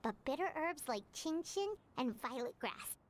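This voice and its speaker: A woman's voice: high voice, animated, normal speed. An actress voicing a small, chatty female companion sprite who talks about herself in the third person. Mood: teasing. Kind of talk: game voice acting.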